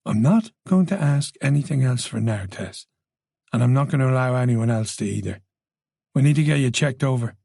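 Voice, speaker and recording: A narrator voicing a calm, protective male senior detective with an Irish accent, reasonable and soothing, in an audiobook. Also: mid-range voice, normal speed, vocal fry, no room sound, clean studio sound. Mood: soothing, firm. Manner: steady, soothing, easy and unhesitating.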